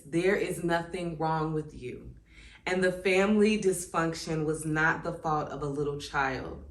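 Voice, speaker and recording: A woman in her thirties talks to her viewers in a low, easygoing voice, reading a passage and then reflecting on it in her own words. She fills her pauses with "um" and "like." The sound has a noticeable room echo. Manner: conversational, reflective, many "um" and "like" asides. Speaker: channel host. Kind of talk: casual reflective vlog, reading from a workbook. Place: indoors at home, seated. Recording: echoey room.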